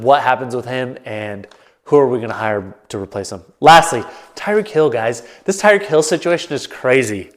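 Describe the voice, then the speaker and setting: A man hosting his own sports talk show, stuffed up and a bit nasal, thinking aloud in a loose, rambling way to the camera. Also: mid-range voice. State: congested, nasal. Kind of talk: casual solo commentary to camera. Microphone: camera mic, solo setup.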